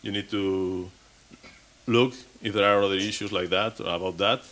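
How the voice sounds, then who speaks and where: Halting man giving a tech talk. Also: lowish voice, steady tone, a bit bright. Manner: halting, many restarts and repeated words. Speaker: conference speaker. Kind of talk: technical conference talk. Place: conference stage.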